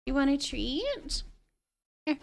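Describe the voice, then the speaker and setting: A woman's voice: sits high, big pitch swings, takes it slowly, vocal fry. A woman hosting a live game stream, chatting casually and thinking aloud as she goes.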